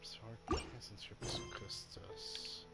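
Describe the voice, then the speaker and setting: A man doing casual commentary on a video game playthrough, halting and thinking aloud as he goes. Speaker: gamer streaming playthrough. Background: game music.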